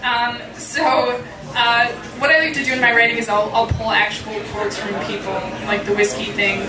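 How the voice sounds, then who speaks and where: A woman poet giving a live reading, deliberate in her phrasing and frank and informal in her delivery. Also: a little high, little pitch movement. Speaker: poet, featured reader. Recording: echoey, distant mic, loud crowd noise.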